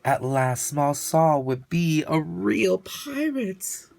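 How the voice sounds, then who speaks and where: A man narrates a children's storybook at a slow, unhurried pace. His reading is expressive and theatrical, stretching out words for emphasis. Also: fairly high pitch, gravelly, no echo.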